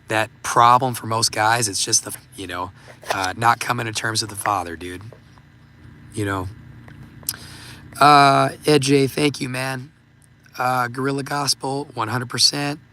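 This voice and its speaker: Male livestream host, hoarse and gravelly with a bright edge; rambling, halting delivery full of restarts. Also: mid-range voice. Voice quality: gravelly, voice giving out. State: hoarse, losing his voice. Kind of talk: casual livestream talk reading super chats.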